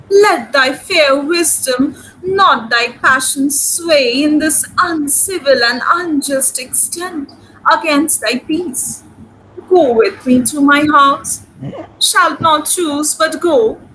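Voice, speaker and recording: A woman's voice: sits high, slow, bright, forceful tone, slight background noise, exaggerated voice, rough recording. A woman playing an ardent, commanding young countess in a dramatic reading of Shakespearean comedy. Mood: urging.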